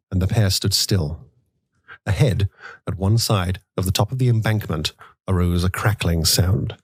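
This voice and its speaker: A man narrating a literary audiobook in a measured, dramatic storytelling manner. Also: low voice, slightly rough, no echo.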